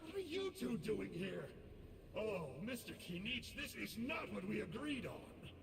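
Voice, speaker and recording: An actor playing a sly, smug scholar who betrays the heroes in a video game, speaking in a playful, teasing, theatrical way. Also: male voice, high voice, big pitch swings, unhurried, vocal fry, in a large hall, far from the mic, noisy background, heavily acted. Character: sly, smug male scholar turned traitor, playful villain.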